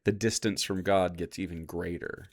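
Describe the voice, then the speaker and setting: A man's voice: deep voice, fairly even intonation, moderate pace. A man doing casual live reaction commentary as a streamer, argumentative, sarcastic and given to swearing.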